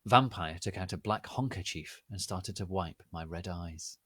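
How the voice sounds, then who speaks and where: A man giving a dramatic, deadpan reading of a story in a deliberate, theatrical manner. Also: low-pitched, no room sound. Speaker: reader of a fan fiction story.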